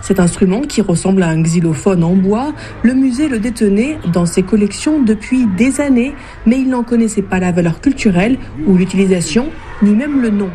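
A woman reporter reading a scripted news report in a measured, even way. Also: medium pitch, slight background noise.

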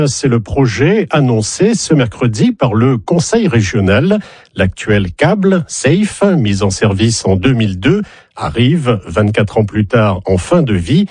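Guy reading the radio news, husky voice, bouncy intonation, wry little asides. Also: mid-range voice, darkish tone, dry recording, studio quality. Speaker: news presenter.

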